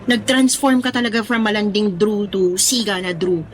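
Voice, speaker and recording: A woman with a Filipino accent playing a young, teasing, curious best friend in an audio drama, speaking expressive casual slang. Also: mid-range voice, moderate pace, slight background noise, close to an everyday voice.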